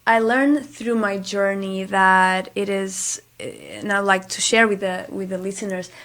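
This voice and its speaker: A woman from Portugal with a Portuguese accent, talking as an interview guest in a halting, conversational way. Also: mid-range voice. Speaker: radio guest, interior designer.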